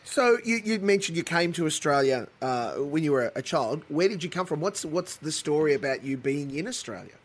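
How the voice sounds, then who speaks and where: A man hosting a casual interview, joking with quick banter. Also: a little high, animated. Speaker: host.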